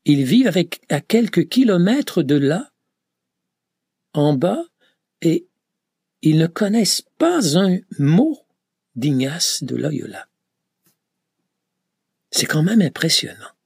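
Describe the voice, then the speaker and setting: Man, gravelly, hesitant, many filler sounds, slow delivery. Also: fairly high pitch, big pitch swings, a little mellow, no room sound, clean studio sound. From Canada. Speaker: pilgrim recounting a journey. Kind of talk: reflective travel account.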